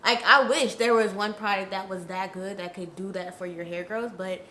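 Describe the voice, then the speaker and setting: A woman's voice: medium pitch, steady tone, normal speed, sharp tone, roomy sound, a little hiss or hum. A young woman with a stuffy nose from a cold, giving frank, chatty hair care advice straight to her viewers. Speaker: natural hair vlogger. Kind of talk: casual advice vlog.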